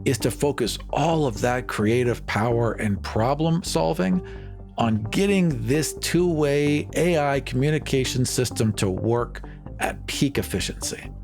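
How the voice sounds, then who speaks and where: A man's voice: medium pitch, a little slow, a little mellow. A man playing a tabletop roleplaying game, speaking conversationally as he improvises in character. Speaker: player in a tabletop roleplaying show. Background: faint music.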